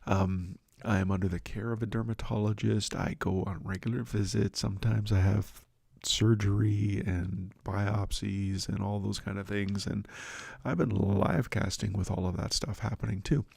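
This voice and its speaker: A middle-aged man hosts a devotional reflection with a low, gravelly voice. He talks loosely and with self-deprecating humor, restarting his sentences and filling them with ums. The sound is close and dry, with almost no room around him. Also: steady tone, normal speed, darkish tone, no echo. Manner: rambling, self-deprecating, many restarts and fillers. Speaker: host of a devotional livestream and podcast.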